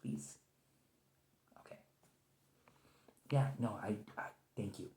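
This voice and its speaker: A man playing a nervous, polite, conciliatory field agent in an ASMR roleplay, speaking in a halting, hesitant way. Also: lowish voice, big pitch swings, dark tone. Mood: pleading, conciliatory. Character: nervous, conciliatory male agent of a secret organization negotiating with a creature. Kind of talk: ASMR roleplay, improvised one-sided conversation. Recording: roomy, echoing lo-fi sound.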